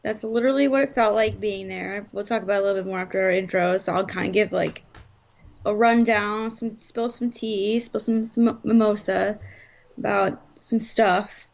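A woman co-hosting a live stream in casual chat, her voice hoarse, rambling with lots of fillers. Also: medium pitch, fairly even intonation, moderate pace, lo-fi sound. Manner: chatty, rambling, frequent fillers. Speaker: podcast co-host.

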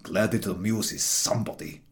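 A man's voice: on the low side, normal speed, voice pushed down, natural voice. A man voicing an exasperated traveller in an audiobook, complaining in an aggrieved, contemptuous way. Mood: sour. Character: exasperated weary traveller saddled with a stray dog. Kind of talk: audiobook dialogue.

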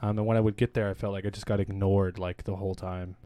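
A man's voice: deep voice, fast talker, smooth, no room sound. A young adult man co-hosting a podcast, telling stories in a casual, profane way. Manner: casual, profane, animated storytelling with laughs. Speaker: podcast co-host. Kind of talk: casual chat.

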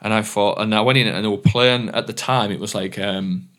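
A man's voice: lowish voice, steady tone, fairly quick, a bit bright. A man telling stories in casual chat, swearing and rambling as he acts out who said what. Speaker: DJ and podcast host.

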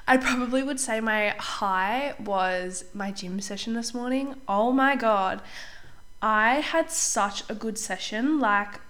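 A young woman hosting a casual solo podcast as a life coach, chatty and upbeat, slightly hoarse while getting over a cold. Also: mid-range voice, a bit bright. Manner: chatty, upbeat, says "like" often, clears throat.

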